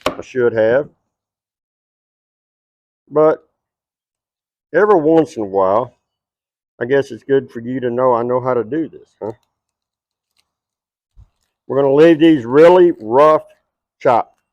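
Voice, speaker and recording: A man's voice: mid-range voice, slow, pauses often, a little mellow, dry recording, dull audio. Folksy Texan man cooking outdoors. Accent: Texas. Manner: folksy, chatty, talks straight to the viewers. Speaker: cooking show host. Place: outdoor backyard table beside a shed.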